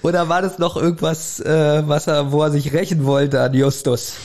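A man's voice: on the high side, flat delivery, moderate pace, a little mellow. A man co-hosting a podcast, chatting casually about an audio drama and often chuckling as he talks. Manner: chuckles often while talking. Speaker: podcast co-host.

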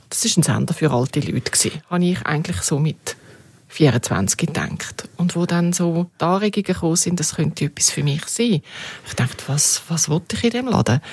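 A woman's voice: fairly low pitch, a little mellow, slightly rough, no echo. A middle-aged or older woman with a Swiss German accent, reminiscing conversationally and emphatically as an interview guest. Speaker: podcast guest, former radio editorial head.

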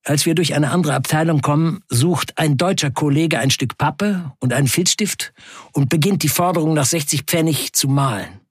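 A man playing a defiant factory worker in an audio drama, narrating in the first person with emphatic, measured delivery and a husky voice. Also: fairly high pitch, no echo, studio quality. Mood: determined. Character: defiant male Turkish factory worker recounting a wildcat strike.

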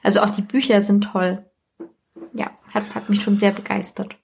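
A woman's voice: mid-range voice, level tone, normal speed, gravelly, slight background noise. A woman chatting casually as a podcast guest, recounting things in a loose, tentative, rambling way.

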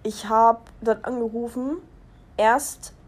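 A woman's voice: fairly high pitch, fairly even intonation, slow. A young adult woman from Germany talking casually as a podcast host, chatty and giggly, rambling and interrupting herself as she goes. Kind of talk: casual personal podcast monologue.

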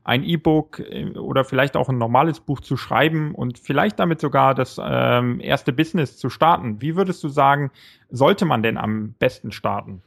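A man's voice: mid-range voice, normal speed, vocal fry. A man hosting his podcast while he has a cold, welcoming listeners cheerfully and asking questions with a few fillers. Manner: upbeat, welcoming, hesitant with fillers when asking. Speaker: podcast host. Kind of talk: podcast intro and interview.

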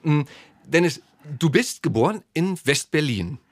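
A man's voice: a little high, lively intonation, unhurried, no echo, clean studio sound. A man hosting a talk show, flustered and self-mocking, laughing often and losing his thread. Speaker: podcast host. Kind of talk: casual interview chat.

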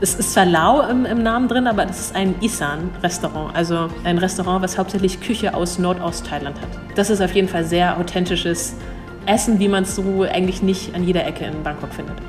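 A young woman answering interview questions in a thoughtful way, hesitating and restarting, quick to laugh. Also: lowish voice, music in the background. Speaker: cultural attaché at an embassy.

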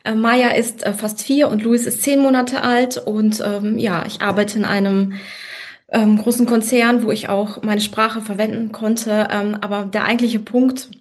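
A woman in her thirties, born in Russia and raised in Germany, telling her personal story as a podcast guest with many pauses and fillers. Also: a little high, flat delivery. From born in Russia, grew up in Germany. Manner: hesitant, many fillers and restarts.

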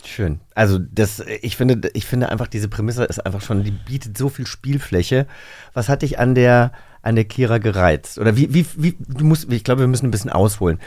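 A man hosting a podcast interview, relaxed and repeating words as he forms his questions. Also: medium pitch, moderate pace. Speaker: podcast host. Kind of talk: casual interview chat.

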